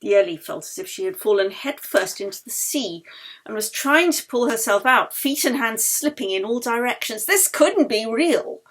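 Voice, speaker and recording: A woman author reading her own novel aloud in a measured, expressive way. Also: fairly high pitch. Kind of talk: audiobook narration.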